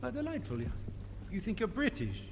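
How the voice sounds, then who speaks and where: An actor playing a menacing, cultured Nazi officer with a German accent, speaking with theatrical, mocking politeness in a game. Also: male voice, high voice, wildly swooping pitch, fairly quick, heavily acted. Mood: mocking menace. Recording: loud rain and ambient noise behind.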